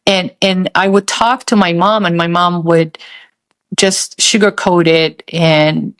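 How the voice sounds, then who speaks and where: A woman who grew up in Saudi Arabia, telling a personal story in a thoughtful, halting way with many pauses. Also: fairly low pitch, steady tone, fairly bright tone. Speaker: podcast host.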